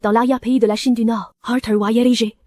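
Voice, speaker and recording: An actress playing an earnest, intense young female soldier in an animated action series, with urgent, emotional delivery. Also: on the high side, fast, no room sound, studio quality, lightly acted. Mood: grave.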